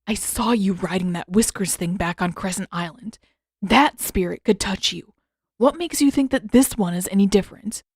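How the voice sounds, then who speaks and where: A woman's voice: mid-range voice, normal speed, darkish tone, husky, no room sound. A woman voicing a protective, blunt teenage boy, a prince in a fantasy audiobook, with an urgent, dry manner.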